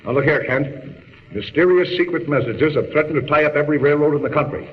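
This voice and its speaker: Brusque, impatient American city editor, played by a male actor, slightly high, 1940s radio serial, dull noisy sound. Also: fast, lightly acted. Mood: gruff, suspicious. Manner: blustery, brusque. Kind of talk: 1940s radio drama acting. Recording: muffled, reverberant old radio recording.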